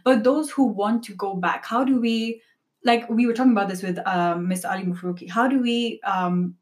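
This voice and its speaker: A woman's voice: medium pitch, moderate pace. A young woman co-hosting a casual discussion, earnestly raising questions to the group. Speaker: podcast co-host.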